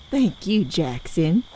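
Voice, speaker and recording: A woman's voice: medium pitch, very animated, drawn-out words, mellow, a little hiss or hum, poor audio. A woman acting a shy, self-doubting teenage girl in a period audio drama. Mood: shy, playful. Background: crickets and night insects.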